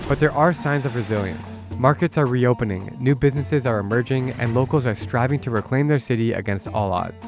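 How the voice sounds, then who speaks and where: An American man in his thirties hosting a travel vlog in an informal, enthusiastic way. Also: mid-range voice. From United States. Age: 30s. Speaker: travel vlogger and host. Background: music.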